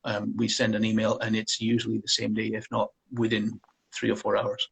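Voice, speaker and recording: A man, a college counselor, answering interview questions in a relaxed way with frequent pauses and ums. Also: lowish voice, gravelly, husky, low-quality recording.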